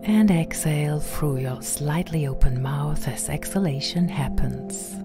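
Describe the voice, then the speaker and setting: A woman guiding a meditation in a gentle, soothing, unhurried manner, softly spoken and at times breathy. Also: sits low. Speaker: meditation guide and podcast host. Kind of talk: guided meditation narration. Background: background music.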